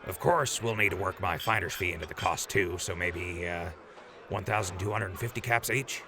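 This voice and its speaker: A man's voice: sits low, normal speed, noisy background, lightly acted. An actor playing an easygoing, wily grown man who leads a crew in an audio drama, folksy and unflustered. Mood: wheedling. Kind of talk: post-apocalyptic audio drama acting.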